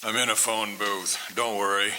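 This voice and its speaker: Guy acting cold businesslike hitman, lowish really forceful voice, old time radio drama, bit of noise. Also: level tone, normal speed, low-quality recording. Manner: terse, reassuring, businesslike. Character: cold, matter-of-fact hired killer named George.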